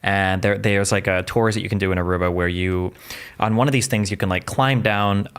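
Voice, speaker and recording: A man co-hosting a casual chat, talking quickly with lots of fillers as he tells an anecdote. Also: fairly low pitch, steady tone, a bit bright, clean tone. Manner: fast, chatty, filler-heavy. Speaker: podcast co-host.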